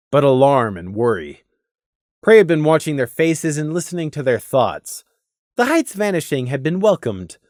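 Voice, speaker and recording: A man's voice: medium pitch, dry recording, clean studio sound. A man narrating a scripted fantasy story dramatically, as an audiobook narrator.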